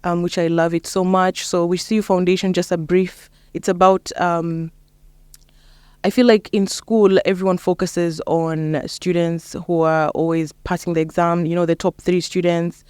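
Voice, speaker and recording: Clear-voiced young Kenyan woman. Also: lowish voice, little pitch movement, moderate pace, a little mellow, no room sound. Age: young adult. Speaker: interview guest, lawyer and mentor. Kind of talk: casual interview conversation.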